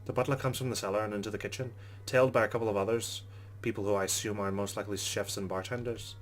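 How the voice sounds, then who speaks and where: Male let's play host, low voice, rapid pace, reading story narration with commentary. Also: steady tone, faint music behind.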